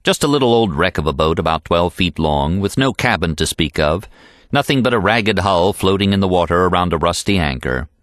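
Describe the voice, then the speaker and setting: A man's voice: low-pitched, expressive, fairly quick, fairly bright tone, no room sound, studio quality. An American man reading a literary novel aloud as an audiobook narrator.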